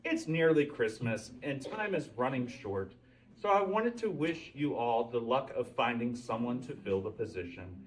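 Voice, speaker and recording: Man as storybook moose: earnest, chatty, awkwardly hopeful, lively intonation, heard from a distance. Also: mid-range voice, normal speed, close to an everyday voice. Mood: apologetic, resigned. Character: earnest, eager male moose hoping to be a reindeer.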